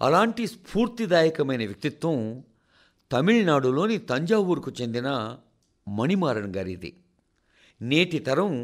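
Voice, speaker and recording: A man reads a formal scripted radio address as a presenter, in a measured, rhetorical way that speaks directly to listeners. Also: on the high side. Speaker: radio presenter reading a translated national address.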